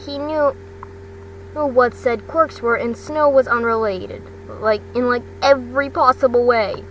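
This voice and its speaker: Female amateur storyteller, higher-pitched, halting delivery with repeats, recorded off-mic with clear background noise. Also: a bit bright. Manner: halting, stumbling, repeats phrases. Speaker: fan fiction narrator. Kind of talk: amateur storytelling read aloud. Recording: distant mic, noisy background hum.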